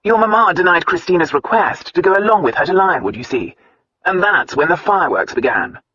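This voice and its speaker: A man voicing an amused young gentleman who speaks tenderly and teasingly in a storybook reading. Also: sits high, expressive, brisk, husky, no room sound, voice pushed up, exaggerated voice. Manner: lightly raised, teasing and soothing. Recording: slightly muffled dry recording.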